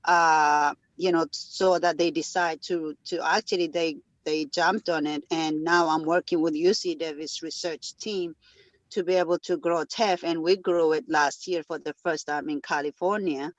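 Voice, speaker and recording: A man's voice: fairly high pitch, steady tone, moderate pace, no echo, muffled recording. A man from Ethiopia who owns a restaurant and a farm, speaking earnestly on a panel with many "uh" fillers and grammar. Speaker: restaurant owner and farm founder. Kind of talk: panel discussion.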